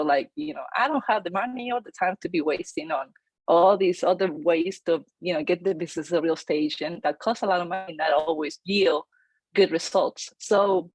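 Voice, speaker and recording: A woman, her voice sitting on the low side. She talks energetically, full of ums and restarts, in a dry room. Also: breathy edge, no room sound, rough recording. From Chile. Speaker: real estate agent, guest. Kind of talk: casual interview storytelling.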